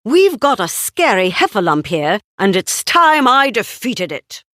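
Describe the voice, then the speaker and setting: A woman's voice: medium pitch, big pitch swings, a little slow, bright, forceful tone, no echo, clean studio sound. A woman voicing an earnest, brave, kindly grown man in a children's storybook, speaking emphatically. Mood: bold, combative.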